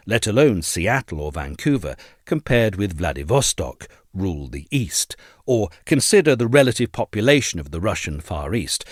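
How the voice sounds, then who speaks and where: Low-pitched male narrator with animated intonation. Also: no room sound, studio quality. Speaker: narrator reading an essay aloud. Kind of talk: scripted narration of a political essay.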